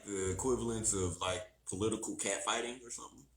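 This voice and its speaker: A man's voice: fairly low pitch, fairly even intonation, fast talker. A man with a Southern US accent co-hosting a casual live-stream chat. He is laid-back, laughs easily and likes to play devil's advocate. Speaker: podcast co-host. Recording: roomy echo.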